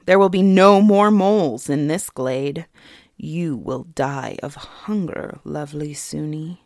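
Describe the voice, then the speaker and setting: A woman voicing a young male fairy king who is cold, mocking and menacing, speaking slowly and softly. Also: low-pitched, no echo, studio quality. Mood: taunting. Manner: slow, soft, taunting.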